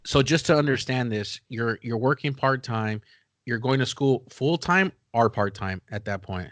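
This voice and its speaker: A man's voice: medium pitch, normal speed, fairly bright tone, no echo. A man hosting a casual interview, chatting informally and asking questions. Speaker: interview host.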